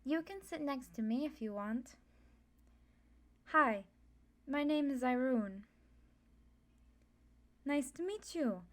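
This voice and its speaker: A woman plays a young female student of magic in an ASMR roleplay. She is friendly, forthright and self-assured, chatting in a fairly high voice. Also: animated, slow pace, long pauses. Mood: friendly, chatty. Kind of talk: ASMR roleplay, casual introduction.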